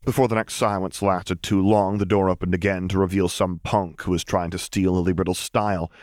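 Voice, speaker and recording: Low-voiced man narrating a story. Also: flat delivery, fairly quick, a little mellow, dry recording, studio quality. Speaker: audiobook narrator.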